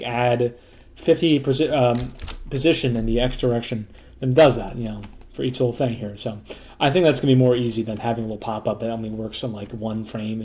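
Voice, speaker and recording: A hoarse man casually walking through a demo of software he built, rambling loosely with lots of fillers, restarts and blunt joking asides. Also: mid-range voice. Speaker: developer demoing his own software. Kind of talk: casual rambling screen walkthrough.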